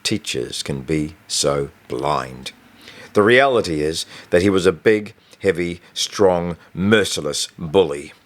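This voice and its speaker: A man's voice: deep voice, animated, takes it slowly. A man reading a first-person story as a scripted audiobook narration. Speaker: author reading own novel.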